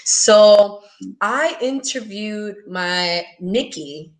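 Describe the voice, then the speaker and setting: A woman's voice: medium pitch, animated, drawn-out words, low-quality recording. A woman in her thirties from the Dominican Republic, a guest on a video-call interview, chatting eagerly with frequent laughs and lots of "like", speaking into earbuds. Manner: enthusiastic, laughs often, fills with "like". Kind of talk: casual interview chat. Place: home room, on a video call. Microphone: wired earbuds with mic.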